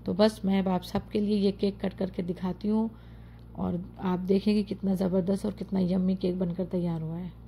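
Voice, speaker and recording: A woman's voice: medium pitch, little pitch movement, normal speed, vocal fry, warm tone, a little hiss or hum. A woman narrating her home cooking tutorial, chatty and full of praise for the dish, giving viewers step-by-step tips. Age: adult, mother of children. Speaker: home cook and channel host.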